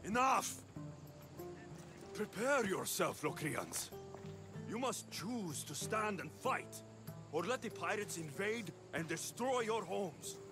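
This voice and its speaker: An actor playing a blunt, impatient mercenary warrior hero in a video game, speaking in a dry, terse way. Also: male voice, sits high, big pitch swings, slow, over music, heavily acted. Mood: rousing. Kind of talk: video game cutscene acting.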